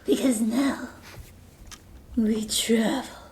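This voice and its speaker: A woman's voice: on the high side, steady tone, very slow, a little mellow. A woman voicing a grim, commanding piece of bubble gum in an audio comedy, with clipped, dramatic pronouncements in a roomy space. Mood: urgent. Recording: roomy sound, faint background noise.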